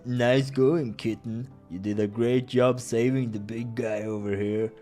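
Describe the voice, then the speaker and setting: A man's voice: medium pitch, fairly even intonation, unhurried, dark tone, soft background music, natural voice. A man voicing a brash furry adventurer in a visual novel, teasing, blunt and wry.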